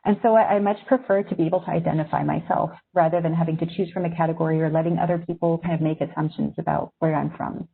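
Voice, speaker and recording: A woman's voice: low-pitched, steady tone, quick pace, creaky, few pauses, husky. A woman who grew up in Syria, a magazine editor, giving a thoughtful, reflective webinar talk to journalism students over a video call. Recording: tinny video-call audio.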